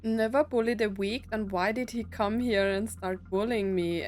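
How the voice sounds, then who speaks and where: A woman's voice: medium pitch, moderate pace, darkish tone. Young woman casually commenting on a game. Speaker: gamer. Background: game music.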